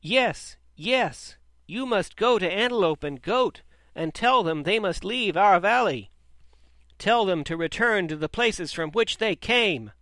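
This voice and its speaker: A man voicing a frightened, angry crowd of sheep, loud and wailing. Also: a little high, lively intonation, a little slow, no echo, voice pushed up. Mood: shouting.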